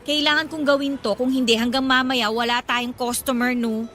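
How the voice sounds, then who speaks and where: A woman's voice: sits high, fairly even intonation, moderate pace, fairly bright tone. A woman playing an earnest, hardworking young flower vendor in a Filipino radio-style love drama, chatty and polite. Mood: curious, teasing. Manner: chatty with friends, polite to customers.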